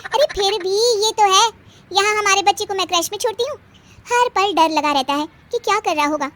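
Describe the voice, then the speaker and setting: A woman voicing a chatty, envious young female office friend in an animated family story. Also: very high-pitched, brisk, pitched above the performer's own voice.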